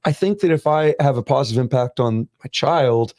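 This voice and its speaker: Mellow-voiced man hosting a chat. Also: mid-range voice, moderate pace, no echo, clean studio sound. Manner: relaxed, earnest questions with frequent "man" and "like". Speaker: host. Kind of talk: casual conversation.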